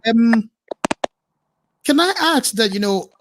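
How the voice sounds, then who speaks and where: A man's voice: very high voice, expressive, slow, background noise. A male radio host interviewing a guest remotely, encouraging with short affirmations.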